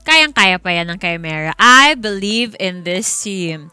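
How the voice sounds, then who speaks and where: A woman's voice: medium pitch, lively intonation, a little slow, bright, forceful tone, smooth. A woman with a Filipino accent hosting an esports panel, giving casual, encouraging and emphatic analysis. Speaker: esports caster and panel host. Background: faint background music.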